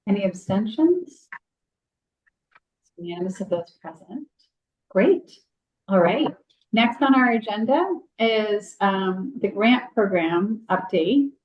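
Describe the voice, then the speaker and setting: A woman chairing a commission meeting, calling votes and moving briskly through the agenda. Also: mid-range voice, expressive, muffled recording. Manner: procedural, brisk.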